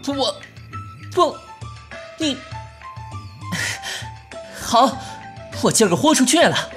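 Woman acting animated, defiant young gentleman. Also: sits high, slow, faint music behind, heavily acted. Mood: defiant, provocative. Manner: indignant, theatrical, provocative. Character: brash, defiant, sharp-tongued young gentleman. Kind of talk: Chinese costume audio drama acting.

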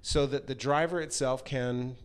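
A middle-aged man giving an informal product presentation as a company presenter, halting and pausing mid-phrase as he explains the design, speaking into a handheld microphone. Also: medium pitch, fairly even intonation, smooth. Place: large hard-walled workshop room, indoors. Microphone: handheld microphone held close.

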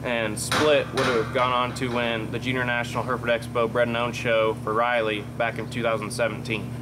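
A man's voice: mid-range voice, flat delivery, a little fast, background noise. A young man in his late teens from Texas, a junior cattle exhibitor, talking matter-of-factly about show results and prep routines in a barn, on a lapel mic. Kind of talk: interview monologue. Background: background music.

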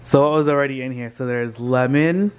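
A man's voice: mid-range voice, fairly quick, lo-fi sound, slight background noise. A young man vlogging at home, chatting casually to a handheld camera held at arm's length and laughing often. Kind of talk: casual vlog chat. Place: home kitchen and bedroom.

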